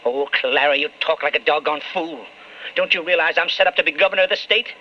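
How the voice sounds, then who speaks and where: An actor playing an eager, placating political hopeful with a folksy, excitable manner in old-time radio drama style. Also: male voice, fairly high pitch, fast, natural voice. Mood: exasperated. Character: eager, glad-handing young political hopeful, placating and ambitious. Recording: dull old radio recording.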